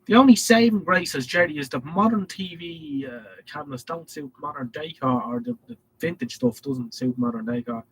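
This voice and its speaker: A man's voice: on the high side, sing-song, moderate pace, gravelly, hardly any pauses, slight background noise, rough recording. A man with an Irish accent and a head cold, hosting a casual live stream and reading out viewer comments in a rambling way. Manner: rambling, reads chat messages aloud.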